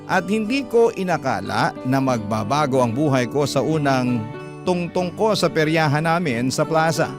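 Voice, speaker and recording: A man narrating a love story in first person, classic radio drama style, with gentle storytelling. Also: a little high, animated, moderate pace. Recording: music bed behind. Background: music.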